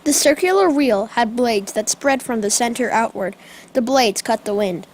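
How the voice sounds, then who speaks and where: A woman's voice: fairly high pitch, normal speed, a little hiss or hum, close to an everyday voice. A young female narrator reading scripted storytelling for a school radio drama. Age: child or young performer.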